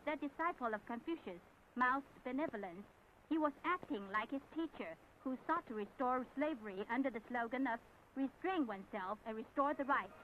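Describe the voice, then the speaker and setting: A woman reads formal, declamatory scripted narration for a 1970s propaganda documentary. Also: high voice. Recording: tinny, band-limited, noisy 1970s film soundtrack.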